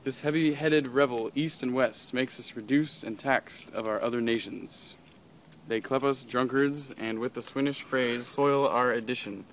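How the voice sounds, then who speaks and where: A man's voice: medium pitch, fairly even intonation, unhurried, natural voice. Guy doing a moody young shakespeare prince, declaiming a bit clumsily, amateur play, bit of background noise. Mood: scornful, musing. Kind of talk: amateur stage reading of Shakespeare.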